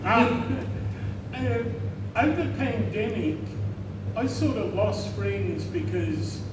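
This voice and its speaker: A man in the audience asking a question, loud and dry-humored. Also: high voice, expressive, takes it slowly. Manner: loud and dry-humored, says he has a big voice. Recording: roomy, distant mic with crowd noise.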